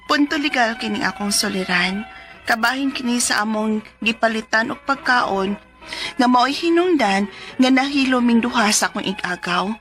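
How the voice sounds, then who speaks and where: A young woman with a Cebuano accent, tells her troubles in a high voice. She is chatty, excitable and aggrieved, in radio drama style with music behind her. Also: normal speed. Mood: earnest, formal. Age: 20s. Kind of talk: Filipino radio drama acting and narration.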